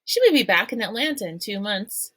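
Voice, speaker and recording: Woman reader, children's novel read-aloud, steady storytelling, dry sound. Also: mid-range voice, a little fast, breathy edge, no echo.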